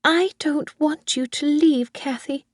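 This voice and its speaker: Casting: obsessive old mother, high and pleading, slow delivery, dry close sound, put on by a female reader. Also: no echo. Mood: confessing, fervent. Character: frail old woman, possessive, obsessive mother.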